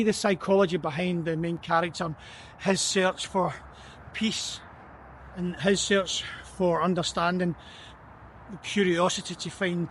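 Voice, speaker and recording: A man's voice: high-pitched, slight background noise. A man talking thoughtfully as he walks outside at night, often repeating words as he gathers his thoughts. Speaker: vlogger. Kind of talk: reflective walking vlog. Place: outdoors on a city street at night.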